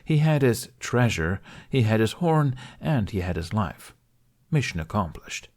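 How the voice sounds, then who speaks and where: Man, low voice, audiobook narrator, scripted storytelling, fantasy fiction. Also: sing-song, measured pace, darkish tone.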